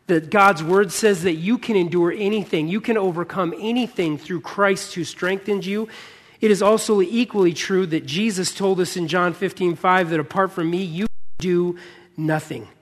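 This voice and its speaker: A man's voice: high voice, fairly bright tone. An American man with a touch of Midwestern accent preaches a sermon in a church auditorium, earnest and leaning on repetition for emphasis. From United States, born in Michigan. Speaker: pastor preaching. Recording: long hall reverb.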